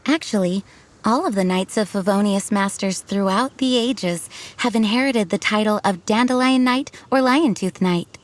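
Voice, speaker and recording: A woman acts out a dutiful young female knight commander for a video game. The voice sits at a medium pitch, formal and measured. It carries earnest resolve, with slight noise in the recording. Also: lightly acted. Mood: earnest, reflective. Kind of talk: video game character acting.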